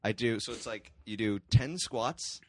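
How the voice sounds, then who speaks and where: A man's voice: on the low side, a little slow. A young man on a podcast, talking casually in a hesitant, self-deprecating way. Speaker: podcast co-host. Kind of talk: casual chat.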